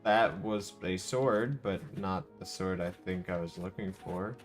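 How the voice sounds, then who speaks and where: A man's voice: low voice, unhurried. A man streaming a game with casual live commentary, musing aloud and echoing the game's lines. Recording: game music behind the voice.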